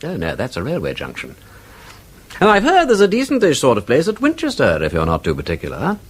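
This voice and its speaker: A man's voice: mid-range voice, wildly swooping pitch, a little fast, slightly rough. A man acting in a radio drama as an urbane, dryly witty lord, with a breezy, drawling upper-class English accent. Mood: breezy, teasing.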